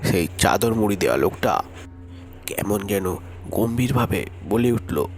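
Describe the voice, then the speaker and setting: A man narrating a horror story in a measured storytelling manner. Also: animated, soft background music. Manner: measured storytelling, raises his pitch for the young hero's lines.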